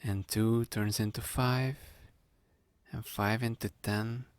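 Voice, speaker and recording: A man playing a sly, playful young male student hypnotist in a conversational ASMR roleplay, speaking to the listener in a gravelly, teasing voice. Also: lowish voice, flat delivery, slow pace, no echo. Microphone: close studio-style microphone, dry.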